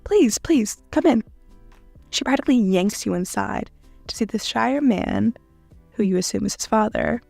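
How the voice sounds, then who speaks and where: A woman narrating a scripted second-person story in a dramatic manner with deliberate pauses. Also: mid-range voice, gravelly, darkish tone, dry recording, soft background music. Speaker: narrator of fan fiction audio.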